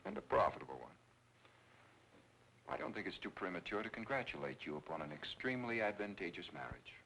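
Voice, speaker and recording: A man's voice: low-pitched, very animated, a little fast, creaky, bright, forceful tone, a little hiss or hum, exaggerated voice, poor audio. An actor playing a suave, sardonic, menacing husband who faked his death, in 1940s film noir style. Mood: smooth, commanding. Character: sinister scheming husband faking his death, urbane, mocking, menacing. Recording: old film soundtrack with music. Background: background music.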